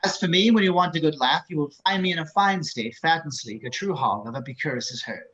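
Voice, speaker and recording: Man playing cultured menacing psychiatrist, lightish pitch, measured, formal, erudite, muffled video-call sound, table read. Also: a bit bright, natural voice. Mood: wry. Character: urbane, courteous cultured psychiatrist hosting a dinner, quietly menacing. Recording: dull, lo-fi video call audio.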